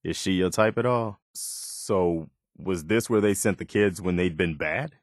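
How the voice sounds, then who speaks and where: An actor playing an earnest, principled militia officer in a video game, sincere and plain-spoken, loyal yet easily disappointed. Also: male voice, low voice, a little slow, no echo, clean studio sound. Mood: curious, bemused. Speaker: video game companion character.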